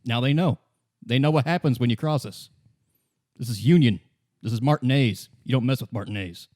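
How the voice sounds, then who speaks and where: A man playing the swaggering, defiant leader of a gang of union toughs in a tabletop radio play, blustering and haltingly boastful. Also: medium pitch, a little mellow, natural voice. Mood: defiant boasting.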